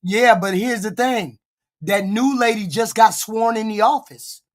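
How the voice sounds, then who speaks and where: A streamer giving blunt, profane live reaction commentary, with a voice deepened by a cold and short of breath. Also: a little slow.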